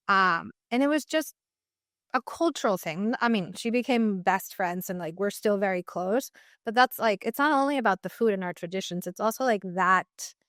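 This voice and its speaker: A woman's voice: mid-range voice, fairly bright tone, no echo, clean studio sound. Earnest young woman from Mexico. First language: Spanish. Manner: earnest, many "like" fillers and restarts. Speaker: guest dietitian. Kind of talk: casual interview talk.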